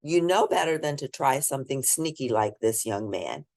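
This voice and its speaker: A woman's voice: very deep voice, lively intonation, moderate pace, darkish tone, no room sound, clean studio sound. A woman voicing a stern, exasperated father in a children's story, in a curt manner.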